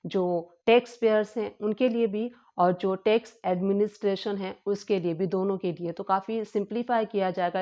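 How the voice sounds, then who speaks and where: A woman explaining tax news in a casual, hesitant lesson, pausing with fillers and repeating herself. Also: mid-range voice, darkish tone. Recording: slightly dull lo-fi home recording.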